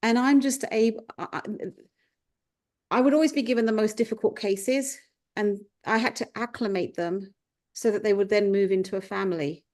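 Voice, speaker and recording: A woman's voice: mid-range voice, normal speed, a little mellow. Thoughtful Englishwoman in her fifties. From England. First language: Punjabi. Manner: thoughtful, circling back with restarts. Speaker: guest, life coach. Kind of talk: reflective podcast conversation.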